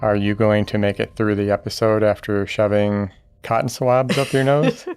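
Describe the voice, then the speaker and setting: Man, co-host, low voice, gravelly, dry teasing remarks. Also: flat delivery, normal speed, darkish tone. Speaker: podcast co-host. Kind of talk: casual podcast chat.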